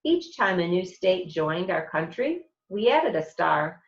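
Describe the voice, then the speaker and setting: A woman's voice: lowish voice, very animated, a little slow, reverberant, dull audio. A woman voicing a patient, friendly male schoolteacher who explains and reassures in a picture-book read-aloud. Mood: patient, explaining.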